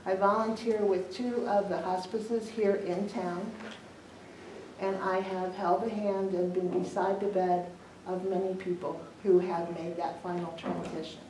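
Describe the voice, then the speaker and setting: A middle-aged woman, a death doula on a panel, speaking earnestly and in a measured way to an audience. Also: fairly low pitch, flat delivery, darkish tone. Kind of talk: personal talk to an audience. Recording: echoey room, far from the mic, some noise.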